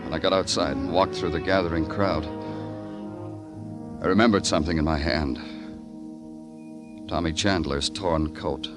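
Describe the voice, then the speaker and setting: An actor playing a world-weary, hard-boiled American police detective in 1950s radio noir, speaking in terse, moody phrases. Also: male voice, very deep voice, steady tone, normal speed, long pauses, loud music behind the voice. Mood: brooding, weary.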